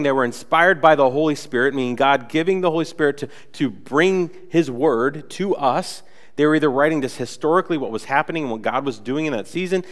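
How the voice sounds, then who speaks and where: A man's voice: medium pitch, big-room sound. A young man preaching a casual, conversational sermon in a church, a bit nasal from a cold and full of chatty fillers. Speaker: pastor. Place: church sanctuary.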